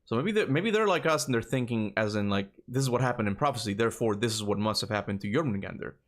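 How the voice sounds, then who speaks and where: A man's voice: on the low side, expressive, fast. Casual male gaming streamer thinking aloud. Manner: thinks aloud, repeats himself, laughs easily. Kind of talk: casual livestream commentary.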